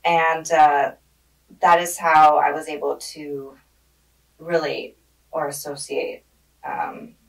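A young woman born in Germany and raised in Hungary, answering interview questions in a relaxed way with many fillers, on a podcast mic in a studio. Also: low-pitched, takes it slowly, gravelly, pauses often, distant mic, low-quality recording. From born in Germany, grew up in Hungary. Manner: relaxed, thoughtful, with frequent fillers. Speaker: guest, street art curator. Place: studio with dark padded walls. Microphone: podcast mic on a desk arm.

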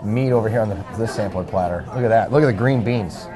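A man's voice: lowish voice, quick pace. A man in his forties on a lapel mic, hosting a TV food segment with playful teasing jokes. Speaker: TV host. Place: large busy restaurant dining room. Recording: restaurant crowd chatter and music behind.

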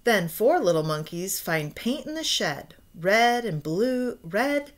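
A woman's voice: mid-range voice, very animated, takes it slowly, a little hiss or hum. An American woman in her forties reading a children's picture book aloud expressively, with asides and questions to young listeners. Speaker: read-aloud storyteller. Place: small room at home.

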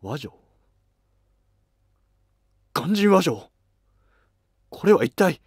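A man playing a devoted, emotional Buddhist monk in a historical drama, with a husky, heartfelt delivery. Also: on the high side, very animated, drawn-out words, darkish tone, dry recording, clean studio sound, heavily acted. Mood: astonished.

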